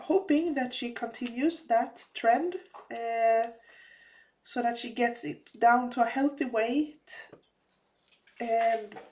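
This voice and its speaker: A woman chatting casually while crafting, her voice slightly raspy from a recent cold, with many fillers and restarts. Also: a little high, a little mellow. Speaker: crafting vlogger.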